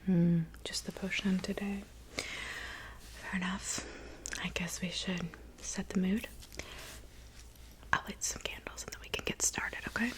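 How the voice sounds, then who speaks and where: A woman playing a calm, helpful woodland witch in a soft, whispered ASMR roleplay, unhurried and murmuring. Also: mid-range voice, natural voice, rough recording. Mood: calm, welcoming. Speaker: ASMR performer.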